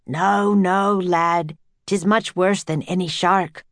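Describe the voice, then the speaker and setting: A woman's voice: lowish voice, fairly even intonation, slow pace, no room sound. A superstitious old seaman, as a woman reader puts him on for a children's story. He speaks dramatically in archaic sailor talk, as if every word is a warning, in a dry recording. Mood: dramatic warning. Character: superstitious old-time sailor man, dramatic teller of monster tales. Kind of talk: children's audiobook character voice.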